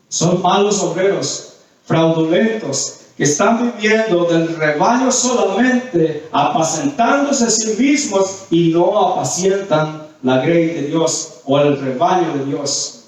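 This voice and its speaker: Male preacher, high-pitched voice, didactic sermon delivery with repeated phrases; very reverberant, distant-miked sound. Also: darkish tone. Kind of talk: sermon with scripture readings and questions to the congregation. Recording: very echoey hall, distant mic.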